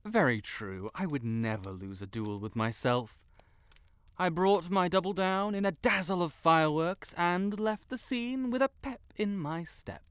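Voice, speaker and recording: A man playing a vain, stuck-up young wizard with a secret soft spot, pompous and theatrical, in a roleplay audio drama. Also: high-pitched, expressive, measured pace, clear, resonant tone. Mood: boastful. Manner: pompous, theatrical, haughty, flustered outbursts. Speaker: voice actor.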